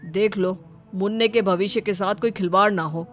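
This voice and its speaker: A woman's voice: mid-range voice, fairly even intonation, moderate pace, faint music behind, close to an everyday voice. A woman voicing a suspicious, demanding husband and father in a domestic story. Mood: concerned.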